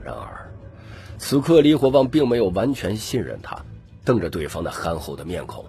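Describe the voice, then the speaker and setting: A man's voice: low-pitched, big pitch swings, moderate pace, creaky, warm tone, soft background music. A man narrating a scripted, dramatic audiobook story.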